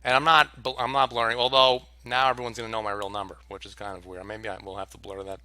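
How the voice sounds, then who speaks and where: A man's voice: fairly low pitch, little pitch movement, quick pace, pushed and bright. A young man telling a story to camera in a casual, exasperated rant with plenty of swearing. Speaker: vlogger.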